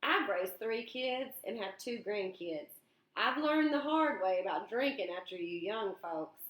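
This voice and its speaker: A woman's voice: medium pitch, animated, measured pace, fairly bright tone, roomy sound, poor audio. A woman voicing a kindly older man who teases a boy, telling his worries with easy humor. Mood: teasing. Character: older male driver, kindly teasing man.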